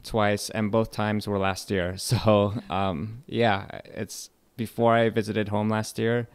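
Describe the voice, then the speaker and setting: Low gravelly-voiced young man, halting. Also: no echo. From California, United States. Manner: relaxed, hesitant, many fillers and restarts. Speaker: English literature lecturer. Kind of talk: casual radio interview.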